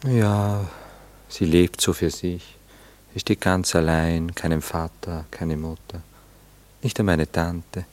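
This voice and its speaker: A courteous gentleman in an intimate radio drama. His voice sits low. He is reserved and reflective, answering politely but evasively. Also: steady tone, slow. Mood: quiet, sincere. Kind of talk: intimate radio play dialogue.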